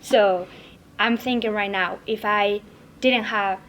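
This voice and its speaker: A woman from Mexico speaks English with a Mexican Spanish accent, halting and often restarting her words. Her voice sits in a middle pitch. Faint noise sits behind her. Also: fairly even intonation, fairly bright tone. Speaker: fitness coach. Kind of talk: roundtable chat.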